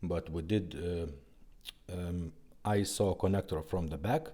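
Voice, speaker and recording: A man who speaks English as a second language, giving a casual, halting product demonstration in simple phrases. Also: sits low, warm tone. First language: not English. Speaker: presenter demonstrating restored car radios.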